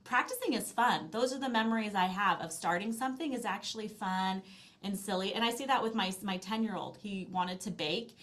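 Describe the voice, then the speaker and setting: A middle-aged woman talks as a guest in an interview. She explains her method earnestly and conversationally, often doubling back to restate a phrase, at an ordinary mid pitch. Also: brisk, a bit bright. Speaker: interview guest, language learning company founder.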